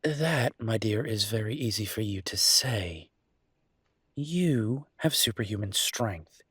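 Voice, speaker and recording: A man playing an easygoing, teasing chef boyfriend in an intimate audio roleplay, chatting with affectionate, playful exasperation in a husky voice. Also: fairly low pitch, animated, slow, a bit bright, dry recording, studio quality, exaggerated voice. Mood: exasperated, teasing. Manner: conversational, playful, exasperated banter.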